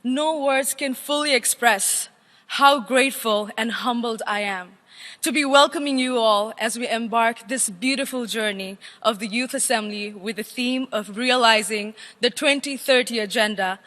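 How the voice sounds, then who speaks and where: A young woman from Nepal speaks in a high, clear register as she delivers a prepared welcome address. Her delivery is earnest and measured, stacking phrases into lists. The sound carries a long reverb from a large assembly hall. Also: bright, forceful tone. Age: young adult. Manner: earnest, deliberate oratory with listed phrases. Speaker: youth chair giving a welcome speech. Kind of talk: formal prepared speech.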